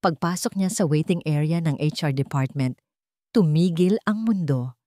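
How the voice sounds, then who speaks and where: A woman's voice: deep voice, moderate pace, dark tone, no echo, clean studio sound. A woman reading a scripted dramatic story as its narrator.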